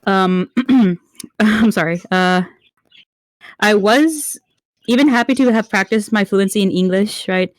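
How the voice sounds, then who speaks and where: A woman from Mexico, a Spanish speaker, giving a prepared speech as a league referee while under the weather, halting and apologetic with many fillers and restarts. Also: medium pitch, no echo. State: under the weather, slightly murky voice. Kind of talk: prepared speech to an online community.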